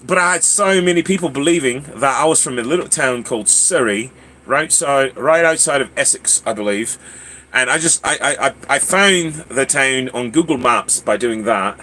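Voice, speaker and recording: A man's voice: mid-range voice, lively intonation, normal speed, bright, forceful tone. A man chatting casually on a livestream in a put-on British accent, rambling through stories with playful character-voice touches. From Louisiana, United States. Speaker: livestream host. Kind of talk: casual livestream chat with anecdotes.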